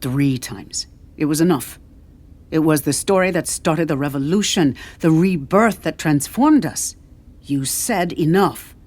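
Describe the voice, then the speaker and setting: A woman's voice: low-pitched, a little slow, a little mellow. A woman voicing an eager, blunt young male reporter who speaks in an earnest, probing way. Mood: earnest, insistent.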